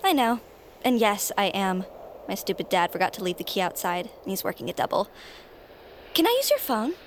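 A woman's voice: mid-range voice, big pitch swings, brisk, a little hiss or hum. Pushy, eerie teenage girl. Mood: casual, sly. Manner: insistent, wheedling. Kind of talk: naturalistic audio drama acting.

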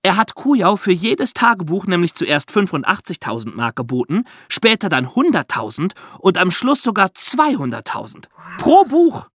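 A man hosting a podcast, explaining true crime history as lively, conversational storytelling. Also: sits high, moderate pace, few pauses, dry recording, clean studio sound.

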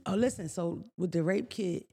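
A woman with a raspy voice co-hosting a podcast, chatting casually in a blunt, profane, storytelling way. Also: lowish voice, moderate pace, warm tone. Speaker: podcast co-host. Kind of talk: casual chat.

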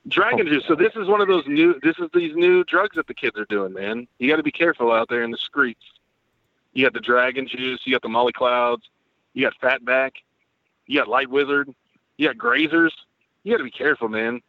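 A man's voice: mid-range voice, fairly quick, no echo. Brief: male podcast guest, profane and joking, phone-line sound, dry. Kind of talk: casual sports podcast chat. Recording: phone-like remote call line.

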